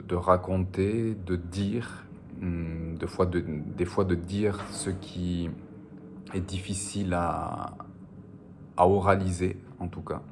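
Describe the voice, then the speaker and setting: Deep, gravelly-voiced man, halting and thoughtful. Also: a little mellow, slight background noise. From French Basque Country, on the border. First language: Spanish. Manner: hesitant, many fillers and repeated words. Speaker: novelist being interviewed. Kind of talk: reflective interview answers.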